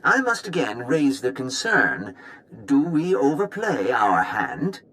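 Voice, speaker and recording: An actor playing a grave, cautious conspirator in a video game, speaking in a halting, solemn way. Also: male voice, medium pitch, big pitch swings, pushed and bright, exaggerated voice, poor audio. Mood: solemn, uneasy.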